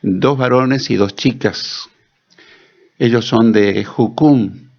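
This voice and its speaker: A man hosting a church program, interviewing guests in a slow, deliberate manner with a gravelly, husky voice. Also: mid-range voice, darkish tone. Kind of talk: friendly church radio interview. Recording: dull lo-fi sound.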